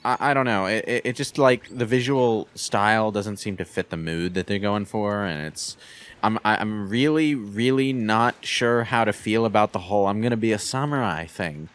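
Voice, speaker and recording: A man with a halting, word-repeating delivery, casually giving his opinions on video games as a commentator. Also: on the low side, fairly bright tone. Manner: halting, repeats words while forming arguments. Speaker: commentator on a gaming show.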